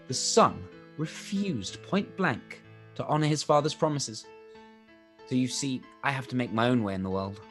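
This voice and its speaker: A man's voice: medium pitch, lightly acted. Charming, confiding young officer, acted by a man. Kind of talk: live-read period radio play acting.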